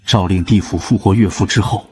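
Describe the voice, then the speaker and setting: An actor playing a vengeful celestial lord who speaks in low, grave, measured tones. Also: male voice, close to an everyday voice.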